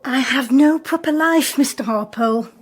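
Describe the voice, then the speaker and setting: An actress playing an amorous, pushy middle-aged married councillor in a radio drama, gushing and suggestive. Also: female voice, high voice, moderate pace, husky. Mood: complaining, confiding.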